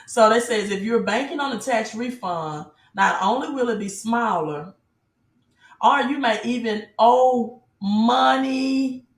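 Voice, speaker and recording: A woman giving an off-the-cuff motivational sales pitch as a business promoter, emphatic, repeating key phrases and asking rhetorical questions. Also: slow, reverberant. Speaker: business promoter and team leader giving an online presentation.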